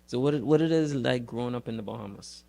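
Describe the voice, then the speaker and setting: Podcast host: man, medium-pitched with noticeable creak, casual, feels his way through questions. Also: warm tone. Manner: halting, repeats words while forming questions. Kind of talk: casual interview chat.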